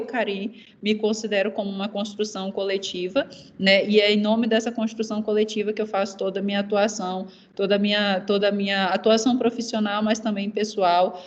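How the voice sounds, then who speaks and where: An earnest young woman from Maranhão in Brazil, whose first language is Zé'eng eté, speaks on a panel at a steady middle pitch with very little melodic movement. She talks haltingly and often repeats fillers while she searches for words. The sound is dull and lo-fi, like a video call. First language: Zé'eng eté (Guajajara language). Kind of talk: personal testimony in a panel talk.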